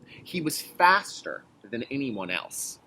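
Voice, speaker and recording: A man's voice: medium pitch, takes it slowly, a little hiss or hum. A man teaching young children in a read-aloud lesson, reading expressively and explaining things in simple, instructional phrases. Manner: clear instructional phrasing with check-in questions; expressive, emphatic storybook reading. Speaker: kindergarten teacher.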